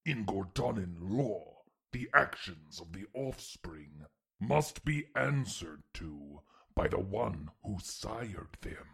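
A very gravelly, husky alien overlord, speaking slowly and imperiously. He is possessive and defiant, with formal commands fit for sci-fi audio drama. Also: low-pitched, sing-song, a bit bright, full character voice. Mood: cold, indignant. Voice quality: gravelly, raspy. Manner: slow, formal, commanding speech.

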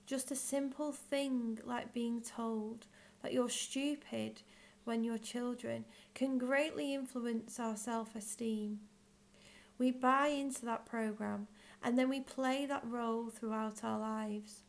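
A woman leading a guided visualisation in scripted narration, with measured, gentle phrasing and long pauses. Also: on the high side, fairly even intonation, gravelly. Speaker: guided meditation leader.

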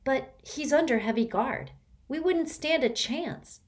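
A woman's voice: on the high side, sing-song, unhurried, pitched above the performer's own voice. A woman reading an audiobook, voicing a nervous, earnest young boy who frets and questions. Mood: anxious. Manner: anxious, fretful questioning. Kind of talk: audiobook character voice.

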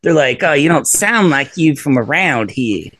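Halting man telling a story. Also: a little high, expressive, fairly bright tone. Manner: halting, with many restarts. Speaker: podcast co-host. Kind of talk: casual podcast chat.

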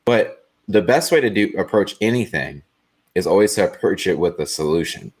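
A man with a deep, monotone voice, talking bluntly and dryly as a panelist on a casual live show. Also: normal speed, breathy edge. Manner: blunt, dry.

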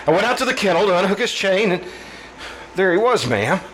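A man playing an agitated, deferential household servant in an old-time radio drama, exclaiming and halting as he speaks. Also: sits high, big pitch swings, a bit bright, slight background noise, rough recording. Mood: frantic, distressed.

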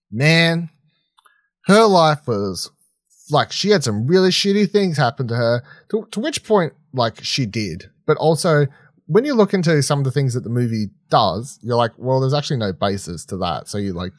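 A man's voice: mid-range voice, dry recording, clean studio sound. A man co-hosting a podcast, giving casual film reviews in a rambling, informal, sweary way. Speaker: podcast co-host reviewing films.

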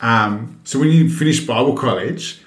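A man hosting a casual podcast interview, relaxed and jokey, laughing easily. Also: medium pitch, normal speed, echoey room. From Central America. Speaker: podcast host.

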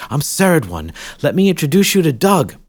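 A man voicing a gushing, welcoming woman who leads a hidden paradise, with a lively, sing-song delivery. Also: low-pitched, brisk, slightly rough, no room sound, voice pushed up, full character voice. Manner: effusive, gushing.

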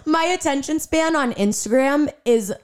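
A woman in her twenties with a raspy voice, animated and defensive as she chats on a podcast. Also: high voice, moderate pace. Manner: animated, defensive, laughs often. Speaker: podcast co-host. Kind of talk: casual chat.

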